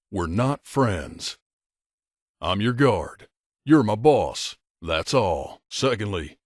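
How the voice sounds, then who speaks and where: A man's voice: on the low side, no echo, studio quality. A man playing a middle-aged mercenary bodyguard in a video game, a blunt and guarded loner who talks in a terse, matter-of-fact, aloof way. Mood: firm, businesslike. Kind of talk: video game character dialogue.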